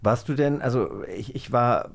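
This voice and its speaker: A man's voice: medium pitch, normal speed. A man hosting a casual podcast conversation, speaking in a candid and personal way. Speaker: host.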